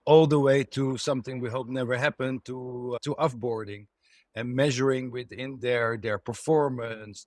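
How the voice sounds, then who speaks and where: A man's voice: medium pitch, no room sound, studio quality. A middle-aged man from the Netherlands with a Dutch accent, a company co-founder answering podcast questions and often repeating words as he restarts phrases.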